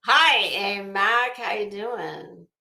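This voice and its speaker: A woman hosts a casual livestream in a bright, forward voice. She takes her time as she talks, chatty and blunt with her viewers. The room around her adds a clear echo. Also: mid-range voice, very slow. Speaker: livestream host. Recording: echoey room.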